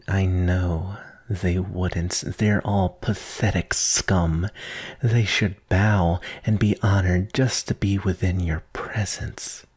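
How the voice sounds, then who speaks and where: Man acting a husky, low, obsessive yandere kidnapper. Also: darkish tone, exaggerated voice. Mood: contemptuous. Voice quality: breathy, husky, often near whisper. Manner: slow, intense, intimate, addressing a captive darling. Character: obsessive, possessive, lovesick male yandere kidnapper. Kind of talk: ASMR roleplay acting.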